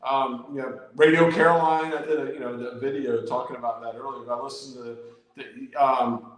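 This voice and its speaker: A man's voice: mid-range voice, steady tone, moderate pace. A man, a guest who tells stories eagerly in casual chat with many "you know"s. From Virginia, USA. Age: 60s. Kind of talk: casual live-stream chat. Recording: very echoey room.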